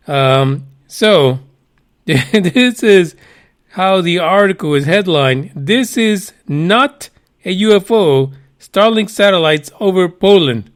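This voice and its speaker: A man with a high voice hosts a loose news podcast. He swears freely, cracks himself up and wanders off into rambling asides while reading articles aloud. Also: expressive, slow. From United States. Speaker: podcast host. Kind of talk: casual news commentary.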